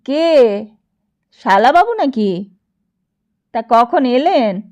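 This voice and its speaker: Woman reading polite, inquisitive village man, unhurried. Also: high-pitched, big pitch swings, a little mellow, exaggerated voice. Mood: curious. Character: curious, deferential village men greeting an in-law. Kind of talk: audiobook character dialogue.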